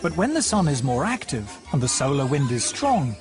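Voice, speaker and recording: A man narrating a documentary in a measured, deliberate scripted delivery. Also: medium pitch, very animated. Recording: narration over music from a film.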